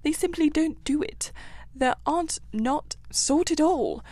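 A woman's voice: a little high, animated, moderate pace, dry recording. A woman voicing a grumpy, literal-minded male mole in a storybook, with a plaintive, pettish, stubbornly matter-of-fact manner.

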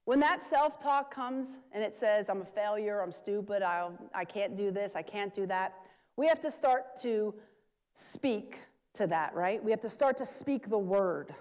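A woman's voice: medium pitch, lively intonation, a little slow, darkish tone. A woman preacher giving a sermon, urging her listeners and repeating key phrases for emphasis. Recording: long hall reverb.

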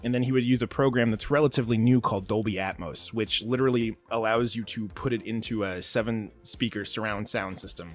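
A man's voice: fairly low pitch, a little fast, hardly any pauses, soft background music. A man, an audio drama writer, giving detailed, earnest explanations as a podcast guest. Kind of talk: explanatory interview talk.

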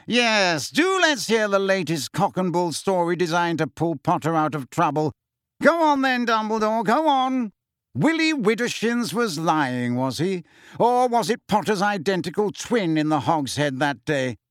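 A man's voice: high-pitched, very animated, unhurried, fairly bright tone, clean tone, dry recording, clean studio sound, pitched above the performer's own voice, exaggerated voice. A man voicing a pompous, hearty middle-aged male official who blusters. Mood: mocking. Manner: blustering, heartily jolly, mocking.

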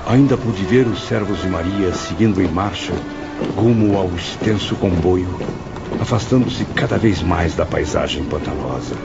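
A man's voice: deep voice, normal speed, gravelly. A man narrating a radio drama in the first person, in a somber, literary manner. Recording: muffled, loud music bed.

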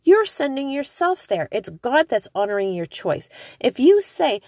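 A woman in her forties preaching informally, turning impassioned with high emphatic calls and chatty fillers. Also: very animated, a little slow, darkish tone, no echo, studio quality. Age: 40s. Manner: conversational with frequent fillers.

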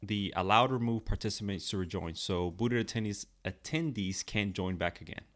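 A man co-hosting a casual tech news podcast, explaining news items in a steady way and laughing easily with his co-host. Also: on the low side, normal speed.

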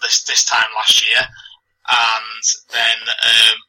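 Husky Scouse man with a flat voice. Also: medium pitch, slow pace, low-quality recording. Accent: Liverpool. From Liverpool, England. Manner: hesitant, many ums and restarts. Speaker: wrestling commentator, guest. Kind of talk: casual podcast interview.

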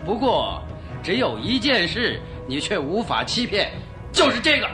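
An actor playing a stern, shrewd police detective in a radio drama, speaking firmly and theatrically. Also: male voice, high voice, big pitch swings, a little slow, over music. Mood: stern, accusing. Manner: firm, theatrical. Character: stern, shrewd male police detective, proud of his rival. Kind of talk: Mandarin radio drama acting.

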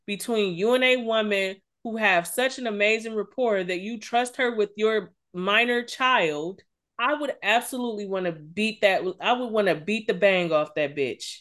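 A woman's voice: medium pitch, normal speed, fairly bright tone. A woman co-hosting a casual gossip podcast, blunt and emphatic, with plenty of swearing. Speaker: podcast co-host.

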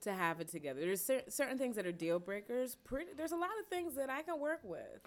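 A woman's voice: fairly high pitch, quick pace. A woman in her thirties in a casual panel chat, telling stories with gusto and acting out her own lines. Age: 30s. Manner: animated storyteller, acts out her own lines.

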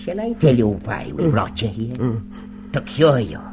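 An actor playing a cold, commanding party inquisitor and torturer in a radio drama, with theatrical, deliberate, menacing delivery. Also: male voice, medium pitch, sing-song, dark tone, lo-fi sound, faint music behind. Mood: calm menace. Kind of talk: mid-century radio drama acting.

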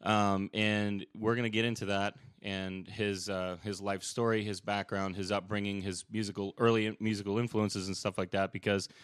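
A man's voice: fairly low pitch, flat delivery, normal speed, few pauses, clear, resonant tone, dry recording, clean studio sound. Young man hosting a podcast, rambling. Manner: loose, rambling, many fillers and restarts. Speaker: podcast host. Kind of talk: casual interview chat.

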